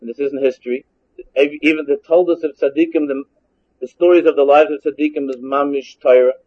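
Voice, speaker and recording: A Hungarian-born man teaches Torah, reading a Hebrew line and then translating it bit by bit. His voice sits high, and he keeps repeating and restarting phrases. The recording is muffled and lo-fi. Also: fairly even intonation, fairly quick, slightly rough. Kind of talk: text-based Torah lesson. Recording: dull lo-fi recording.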